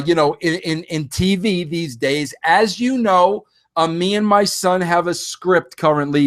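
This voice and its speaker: Animated fifty-something man, high voice. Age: 50s. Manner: rambling, animated, frequent restarts and fillers; addresses listeners directly. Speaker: podcast host. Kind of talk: casual solo monologue.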